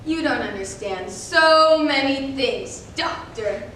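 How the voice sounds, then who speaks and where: A woman playing a bitter, traumatized former patient confronting his doctor on stage in theatrical, deliberate speech. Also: high voice, expressive, a bit bright, heavily acted. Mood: bitter, accusing. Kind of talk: reader's theater stage acting. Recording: echoey hall, distant mic, faint noise.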